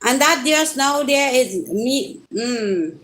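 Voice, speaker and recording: A woman's voice: high voice, expressive, slow pace, a bit bright. A woman with a Trinidadian accent giving a casual live tarot reading, chatting and teasing her viewers directly with sudden rising exclamations. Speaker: tarot reader.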